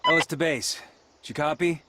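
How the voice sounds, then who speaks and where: An actor playing an earnest, determined former cop in a video game, with a clipped, dutiful way of talking. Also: male voice, a little high, fairly quick, heavy background noise, natural voice. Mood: businesslike. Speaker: video game protagonist, former police officer. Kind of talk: dramatic video game voice acting. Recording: loud outdoor game ambience behind voice.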